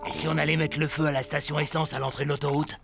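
Oafish, gravelly biker henchman. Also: on the high side, level tone, fast, music in the background. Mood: eager. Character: dim, deferential male biker henchman. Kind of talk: French cartoon acting.

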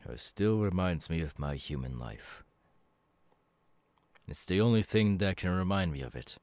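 A man voicing a gentle, melancholy demon who was once human, in a measured, reflective ASMR roleplay with pauses. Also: sits low, expressive, no room sound. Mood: calm, wistful. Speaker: voice actor. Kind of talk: ASMR audio roleplay, slow intimate acting.

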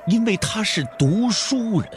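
A man's voice: high-pitched, expressive, unhurried. A man narrating a dramatic audio drama as a scripted storyteller. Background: background music.

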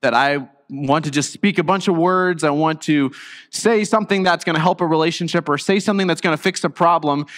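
A man preaching a sermon as pastor, reflective and halting, with frequent restarts. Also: on the high side, a bit bright. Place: church sanctuary. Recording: long church reverb.

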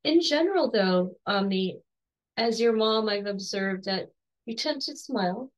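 A woman in her forties, slightly hoarse, gently interviewing her son at home on a video call with soft, encouraging questions and restarts. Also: mid-range voice, lively intonation, measured pace, rough recording. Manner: soft, encouraging, with frequent restarts and light laughs. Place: home room with bookshelves.

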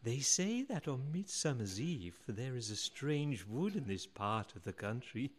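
A woman's voice: extremely low pitch, very animated, measured pace, full character voice. A woman playing a tiny, impish, coy old man with a giggly, wheedling manner in a radio play. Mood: coy, teasing. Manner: giggly, hesitant, repeats phrases.

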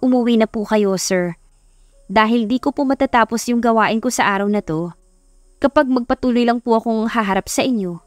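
A woman's voice: a little high, normal speed, darkish tone, dry recording, faint music behind, natural voice. A woman with a Filipino accent voicing a shy, flustered young female employee who speaks politely and hesitantly in an audiobook. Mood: flustered, protesting.

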